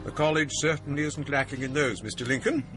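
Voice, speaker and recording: An actor playing a genial, scholarly college provost who tells ghost stories, speaking in a courteous, measured way. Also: male voice, a little high, music in the background, close to an everyday voice. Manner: courteous, measured, theatrical storyteller. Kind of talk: radio drama acting.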